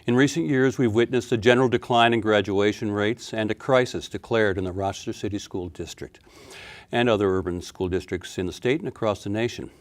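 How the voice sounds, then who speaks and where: A man's voice: lowish voice, brisk. A man hosting an interview show, reading a formal introduction and asking conversational questions. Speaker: host.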